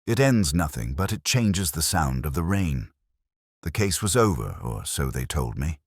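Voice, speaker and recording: A man playing a brooding, world-weary detective who narrates a mystery drama in measured, solemn, literary phrases. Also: rumbling low, very animated, slightly rough, no room sound, clean studio sound, full character voice. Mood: brooding, reflective. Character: brooding, melancholy male Oxford police detective, reflective and world-weary.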